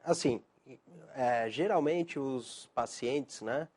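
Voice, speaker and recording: A man's voice: mid-range voice, takes it slowly, a little mellow. A man from Argentina, a psychiatrist guest on a TV show, answering hesitantly with many fillers. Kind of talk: TV interview answers.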